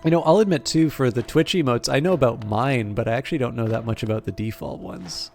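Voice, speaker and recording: A man's voice: mid-range voice, quick pace, darkish tone, soft background music. Chatty man streaming games. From Canada. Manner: rambling, friendly, many fillers and restarts. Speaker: game streamer. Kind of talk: casual live-stream chat over gameplay. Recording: noticeable background noise.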